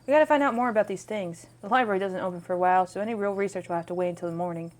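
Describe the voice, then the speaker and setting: A woman's voice: medium pitch, rapid-fire, a little mellow, pitched below the performer's own voice, natural voice. A woman voicing an eager young adult woman who investigates strange creatures in a video game, casual, plain-spoken and earnest. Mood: determined.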